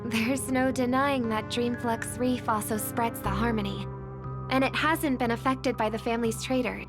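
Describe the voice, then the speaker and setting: An actress voicing a gentle, earnest and wistful young woman in a video game, speaking softly and with measured care. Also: fairly high pitch, steady tone, close to an everyday voice. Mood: soft, pensive. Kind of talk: video game voice acting, English dub. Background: background music.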